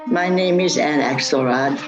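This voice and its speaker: An older woman from Hungary, a Holocaust survivor, speaking in a slow, plain, measured way. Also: sits low, highs cut off, music in the background. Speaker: Holocaust survivor lighting a memorial candle.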